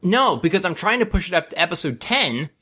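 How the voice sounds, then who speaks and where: Bright, animated man, playfully mock-serious. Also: sits high, fairly quick. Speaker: podcast host. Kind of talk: casual group chat. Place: online voice call.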